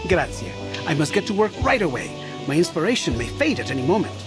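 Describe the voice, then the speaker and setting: An artist in a fairly high, swooping voice. He is eloquent, passionate and charming, a game character who persuades as he talks, over music. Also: big pitch swings, quick pace. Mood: gracious. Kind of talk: visual novel voice acting.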